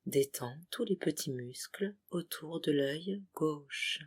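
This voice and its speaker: Very slow, breathy yoga nidra guide. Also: mid-range voice, animated. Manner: soothing, hushed, with short separated phrases. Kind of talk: guided relaxation meditation.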